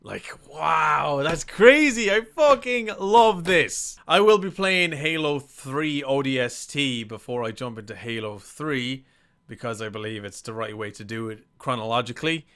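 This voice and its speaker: A man hosting a reaction stream, talking casually and excitedly to his viewers, with hyped, sweary exclamations. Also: mid-range voice, a little slow. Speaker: reaction video host and game streamer.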